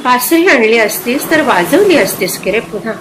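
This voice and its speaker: A woman's voice: a little high, big pitch swings, moderate pace, rough recording. A woman voicing an ageing, wistful woman in a dramatic story, full of emotive questioning. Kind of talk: dramatic literary story reading.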